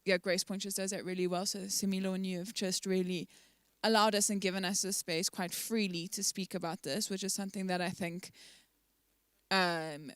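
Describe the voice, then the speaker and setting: A woman's voice: mid-range voice, steady tone, normal speed, clear, resonant tone, dry recording. A young woman speaking in an unscripted church discussion, earnest and careful in how she sets out her points. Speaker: church team member in a discussion.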